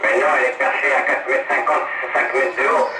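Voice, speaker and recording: A French man talks through a CB radio link with heavy noise and a roomy echo. He is a radio hobbyist, casual and matter-of-fact as he explains. His pitch is average. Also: little pitch movement, a little fast. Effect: heard through a CB radio. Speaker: amateur CB radio operator. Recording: very noisy radio link, distant, echoey. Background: loud radio noise.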